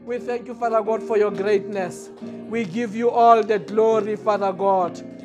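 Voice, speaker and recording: A man leading a passionate, repetitive prayer as a pastor, often raising his voice to a shout. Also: sits high, fairly even intonation, a little slow, over music. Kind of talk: fervent spoken prayer and worship.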